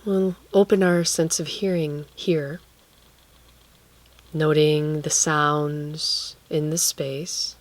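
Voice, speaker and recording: A woman guiding a relaxation meditation, speaking gently and leaving long pauses between phrases. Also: low voice, flat delivery, no room sound. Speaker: guided meditation teacher.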